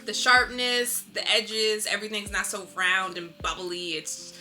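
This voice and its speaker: Very bright-voiced woman in casual interview. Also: medium pitch, level tone, measured pace, soft background music. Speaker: interview guest, visual artist.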